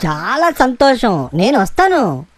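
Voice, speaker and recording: A woman's voice: on the high side, very animated, slow. A woman voicing a friendly, generous monkey in a children's cartoon story. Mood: cheerful. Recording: music behind the voice.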